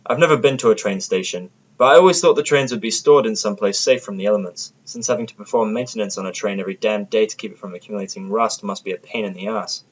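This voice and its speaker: A man's voice: fairly low pitch, fast, hardly any pauses, muffled recording. A man narrating a first-person audio drama from a script, with a sardonic manner.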